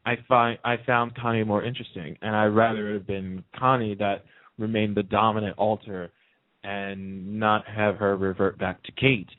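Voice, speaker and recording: A man's voice: on the low side, little pitch movement, normal speed, no room sound. A man chatting casually and emphatically as a guest on a radio show, repeating himself to make his point. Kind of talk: casual soap opera discussion. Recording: narrow phone-like line.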